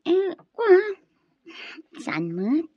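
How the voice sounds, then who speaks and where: A woman's voice: very high-pitched, huge pitch swings, very slow, full character voice. A woman acting a lovestruck, wistful young student in an Ilocano radio drama, with emotive, hesitant delivery. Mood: flustered. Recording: dull lo-fi sound, roomy echo.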